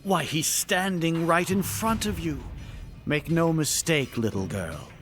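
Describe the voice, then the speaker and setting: An actor playing a smug villain in a video game who poses as a kindly uncle, with a grandiose, deliberate delivery. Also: male voice, on the high side, animated, a bit bright. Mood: smug, menacing. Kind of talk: video game cutscene acting. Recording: music clearly behind the voice.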